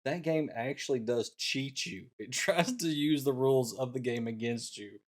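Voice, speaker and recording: A man's voice: medium pitch, normal speed. A middle-aged man talking casually as co-host of a talk show. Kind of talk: casual chat.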